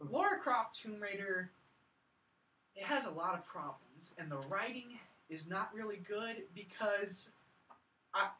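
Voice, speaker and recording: A woman's voice: medium pitch, sharp tone. A woman hosting a casual film review chat, talking emphatically and repeating points to drive them home. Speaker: podcast host reviewing films. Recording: echoey room, distant from mic.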